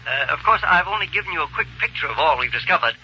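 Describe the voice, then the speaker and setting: Genial scientist, quick precise speech, slightly high pitch, muffled noisy old radio serial. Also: male voice, lively intonation, bright, forceful tone, exaggerated voice. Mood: brisk, agreeable. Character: brisk, genial scientist doctor leading an expedition.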